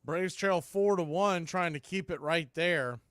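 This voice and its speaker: A man's voice: on the high side, unhurried, fairly bright tone, smooth, no room sound, studio quality. A man calling a baseball game live as a play-by-play announcer.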